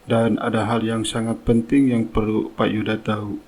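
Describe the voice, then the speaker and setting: A man playing a loyal, deferential driver in a cartoon melodrama, speaking formally in a husky voice. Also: fairly low pitch, monotone, moderate pace, darkish tone. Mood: earnest. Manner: formal, respectful. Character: loyal, deferential driver reporting to his boss.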